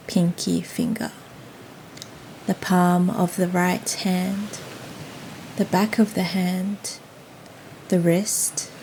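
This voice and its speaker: Woman, meditation guide, very even low-pitched voice, soothing delivery, soft ocean wash behind. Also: rough recording. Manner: soothing, gentle, unhurried. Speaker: yoga nidra guide. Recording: faint steady ocean noise behind. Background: ocean waves ambience.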